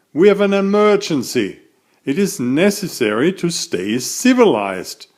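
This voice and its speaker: A man's voice: medium pitch, darkish tone. Elegant, emphatic storybook tomcat, voiced by a man. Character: elegant tomcat hero, friendly, civil, takes charge.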